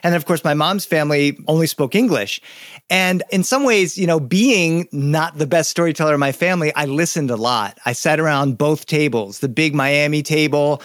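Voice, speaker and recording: A man who is a guest storyteller and educator, talking through his story in a relaxed interview, animated and anecdotal, with fillers and restarts. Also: a little high, normal speed, no room sound, clean studio sound. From United States.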